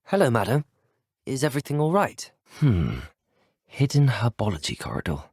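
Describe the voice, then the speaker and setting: A man's voice: mid-range voice, huge pitch swings, moderate pace, darkish tone, breathy edge, no echo, clean studio sound, exaggerated voice. A man voicing a polite, curious young student wizard in a video game, well-spoken and earnest. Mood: curious, alert. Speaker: player character in a video game.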